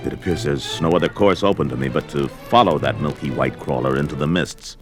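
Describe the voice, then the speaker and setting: An actor playing a gruff, domineering barbarian hero, bold and commanding, declaiming in archaic 1970s fantasy audio drama style. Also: male voice, rumbling low, a little fast. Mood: grudging resolve. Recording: music behind the voice.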